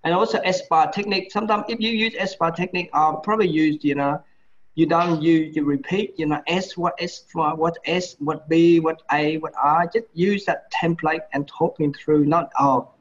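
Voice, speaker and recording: A middle-aged man with a Vietnamese accent, Vietnamese his first language, giving feedback in an online medical class, halting with many restarts and "you know" fillers. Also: fairly high pitch, fairly even intonation. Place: home room on a video call. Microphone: laptop or webcam mic on a video call. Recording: dull lo-fi video call audio.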